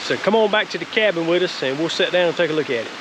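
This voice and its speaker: A man's voice: on the high side, rapid-fire. A man in his forties with a Southern US accent, giving a casual, rambling, folksy product review as a homestead vlogger. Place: outdoors, wooded creek, then cabin deck. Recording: clear steady background hiss outdoors.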